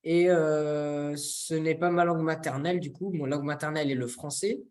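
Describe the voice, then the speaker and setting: A young man from France, co-hosting a casual discussion and often pausing to search for words. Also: on the high side, fairly even intonation, darkish tone. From France, near Toulouse. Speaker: co-host.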